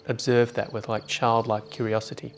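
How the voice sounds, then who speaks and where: A man leading a guided meditation in an informal, unhurried way with long pauses. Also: medium pitch, level tone, a little hiss or hum.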